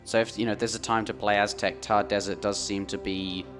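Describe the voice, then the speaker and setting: Low-voiced male esports caster, voice worn. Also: flat delivery, brisk, fairly bright tone, soft background music. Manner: chatty, reads viewer messages aloud. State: voice strained from days of casting. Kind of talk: live game commentary. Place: studio with live audience.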